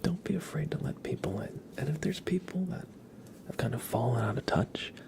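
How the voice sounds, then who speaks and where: A man speaks in a whispery, heavily creaky voice as he hosts an ASMR livestream. His delivery is gentle and unhurried, like soft chatting with viewers. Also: mid-range voice, lively intonation. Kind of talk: ASMR, soft whispered live chat and reading.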